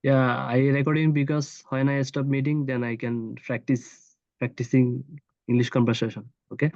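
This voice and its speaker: A man's voice: mid-range voice, little pitch movement, moderate pace, darkish tone, no echo. A man from Bangladesh with a Bangladeshi accent, a freelancer speaking hesitant English with many fillers on a video call. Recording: dull dry video call audio.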